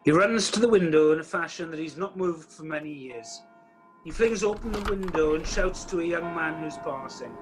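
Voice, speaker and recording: A man's voice: a little high, moderate pace, music in the background, close to an everyday voice, rough recording. A man playing the wry, theatrical narrator of a radio play, addressing the listener directly. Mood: dramatic. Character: wry storyteller narrator of a Christmas tale, theatrical.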